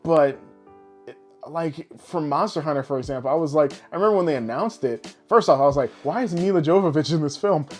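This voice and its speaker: A man's voice: a little high, brisk. A man co-hosting a casual podcast, talking in an emphatic, exasperated and profane way. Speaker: podcast host. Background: guitar music behind the voice.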